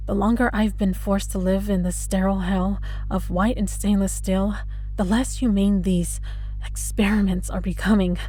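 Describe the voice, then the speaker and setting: An immortal female test subject, played by a woman, taunts her captors in an audio roleplay. Her voice is mid-pitched, dark and slightly husky. She is bitter and menacing, with deliberate, mocking phrasing. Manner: taunting, sardonic, deliberate, addresses listener with mock endearments. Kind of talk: dramatic audio roleplay monologue.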